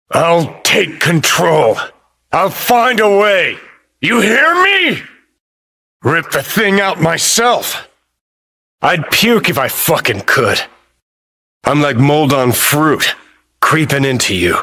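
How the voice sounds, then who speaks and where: A slow-drawling, cynical rebel rocker. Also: male voice, fairly high pitch, sharp tone, exaggerated voice. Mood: bitter, menacing. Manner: sardonic, profane, taunting drawl. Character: cynical, brash, rebellious rocker terrorist, adult man. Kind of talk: video game voice acting.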